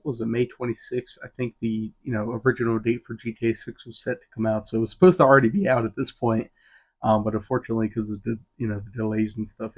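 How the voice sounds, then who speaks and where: A man of twenty hosting a solo podcast, rambling casually with lots of "um" and "you know". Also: medium pitch. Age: 20s. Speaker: podcast host.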